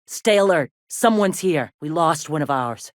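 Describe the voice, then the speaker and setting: An actress playing a tough, gruff female rebel leader in a video game, with a blunt, salty, commanding manner. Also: sits low, animated, measured pace, dry recording, studio quality. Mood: grim, wary.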